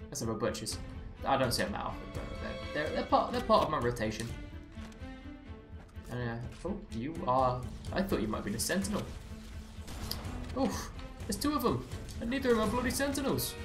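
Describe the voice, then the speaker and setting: A man's voice: fairly high pitch, sing-song, moderate pace, long pauses. Chatty English man streaming a game. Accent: Southeast English, slightly Americanized. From England. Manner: chatty and rambling, with wry self-mocking asides. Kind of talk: live gameplay commentary. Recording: roomy echo with game music behind. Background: game music.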